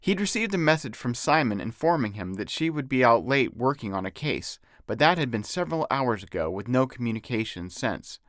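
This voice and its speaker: A man's voice: medium pitch, big pitch swings, fairly quick, few pauses, a bit bright, dry recording, clean studio sound. A man reading his own novel as scripted audiobook narration.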